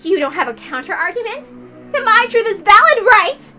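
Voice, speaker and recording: A woman voicing an unhinged, boastful young woman detective in a dramatic story reading, frantic and shouting. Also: very high voice, very animated, normal speed, faint music behind, voice pushed up, exaggerated voice. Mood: aggressive, accusing. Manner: frantic, shouting, cackling outbursts.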